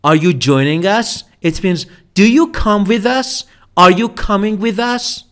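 A man with a high, very animated voice teaches everyday English phrases. He speaks slowly and drills each expression, repeating it for listeners to copy. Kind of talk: slow spoken English lesson with repeated phrases. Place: voice-over for slide video.

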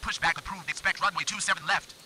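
A man voicing an airline pilot in a game, reading back calls in a quick, clipped way. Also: high voice, fairly even intonation, background noise, natural voice. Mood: brisk. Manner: quick, clipped radio readbacks. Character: airline pilot on the radio, brisk.

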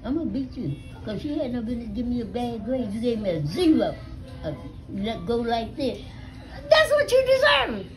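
An elderly American woman telling stories casually to family, with a salty, animated manner, recorded on a phone at home. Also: a little high, moderate pace. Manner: animated, salty, acts out dialogue with swearing. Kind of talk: casual storytelling among family. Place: small living room at home. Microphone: phone held near her.

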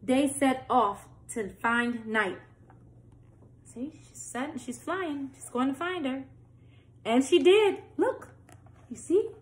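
Young female children's storytime reader. Very unhurried, explains as she goes, roomy home recording. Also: high-pitched, animated. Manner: simple explanatory asides, questions to young listeners. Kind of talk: reading a picture book aloud, with asides to children. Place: small plain-walled room indoors.

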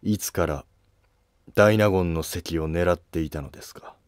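Man acting grave, low-voiced aging court minister. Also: smooth, no room sound, studio quality. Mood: grave, probing. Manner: grave, deliberate, questioning. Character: aging, probing court minister near the end of life. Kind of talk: historical drama dialogue.

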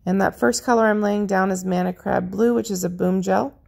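A woman narrating her paint pouring video in a chatty, friendly way, her voice a little raspy. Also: medium pitch, fairly even intonation, brisk, darkish tone. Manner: chatty, friendly, lists colors as she works. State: slightly raspy voice. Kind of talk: casual how-to narration over craft footage.

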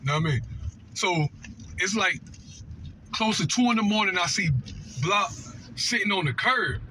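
High-pitched man telling stories. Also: moderate pace. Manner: animated, repetitive, slangy, conversational asides. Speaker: storytelling vlogger. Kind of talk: casual personal storytelling. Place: inside a moving car. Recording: vehicle road noise.

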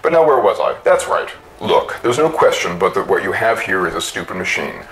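A man's voice: low-pitched, very animated, fairly bright tone, heavily acted, low-quality recording. Man playing a wry, pedantic inventor friend. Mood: matter-of-fact. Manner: measured, explanatory, wry. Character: pedantic, reasonable male constructor friend, self-declared expert.

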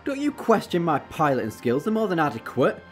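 Woman doing brisk quirky female time traveller, low voice, very animated, sci-fi audio play, bit of music under it. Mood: exasperated, defensive. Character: brisk, eccentric, exasperated time-travelling alien adventurer, female. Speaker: voice actor in an audio drama. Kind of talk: fan sci-fi audio drama acting.

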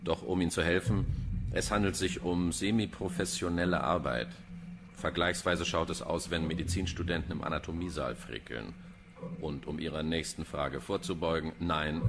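An actor playing a cynical, erudite middle-aged police pathologist in a German radio crime drama, wordy and dryly pedantic. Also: male voice, a bass voice, creaky, very noisy. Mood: dryly clinical. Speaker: forensic pathologist character.

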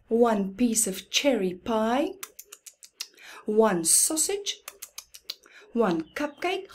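A middle-aged woman reading a picture book to young children in an expressive, deliberate way, with dramatic pauses and hushed emphasis. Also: mid-range voice. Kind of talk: slow children's picture-book read-aloud. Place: indoors in a room at home.

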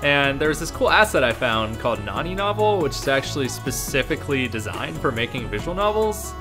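A man's voice: medium pitch, very animated, fairly quick, over music. A young man narrating a scripted vlog about his own work, with wry, self-deprecating humour. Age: young adult. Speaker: video creator and game developer.

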